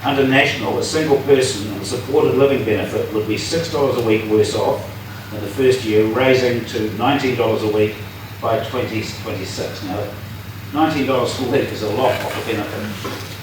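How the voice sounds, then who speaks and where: A man's voice: mid-range voice, steady tone, a little fast. A New man from the audience puts a pointed question to candidates, reading from his notes. He is heard from a distance in a big, echoing hall with faint noise. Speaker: audience member asking a question. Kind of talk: public forum question. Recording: echoey hall from far off.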